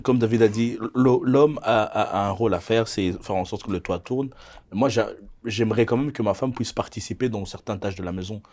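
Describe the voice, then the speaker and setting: A man's voice: on the low side, fairly quick, a little mellow. A man, a podcast panelist who talks insistently and pushes to finish his point. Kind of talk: casual panel discussion.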